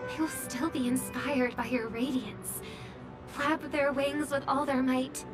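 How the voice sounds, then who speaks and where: A woman playing an idealistic young singer in a video game, delivering poetic lines with earnest, oratorical devotion. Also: high voice. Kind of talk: dramatic game voice acting.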